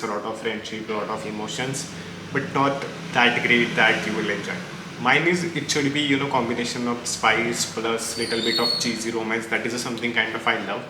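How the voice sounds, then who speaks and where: A man with an Indian accent chatting casually and opinionatedly about books, rambling and mixing Hindi into English. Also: medium pitch. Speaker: book reviewer reacting to recommendations. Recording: echoey room with clear background noise.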